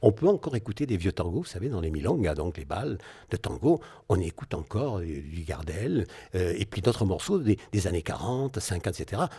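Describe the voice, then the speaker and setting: A man's voice: sits low, sing-song, brisk, hardly any pauses, warm tone, husky. A man in his sixties, a guest on a radio interview, speaking reflectively with frequent hesitations. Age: 60s. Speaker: guest, singer and naturopath.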